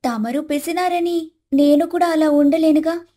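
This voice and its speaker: A woman's voice: high voice, steady tone, a little slow, dark tone, pitched above the performer's own voice. A woman voicing a spiteful young daughter-in-law in a cartoon story, curt and defiant. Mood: pushy.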